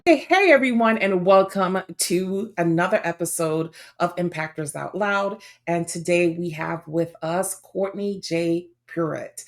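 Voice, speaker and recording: A woman's voice: on the low side, unhurried, few pauses, faint music behind. A woman hosting an interview podcast in a casual, bubbly way, laughing off her own slips. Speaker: podcast host.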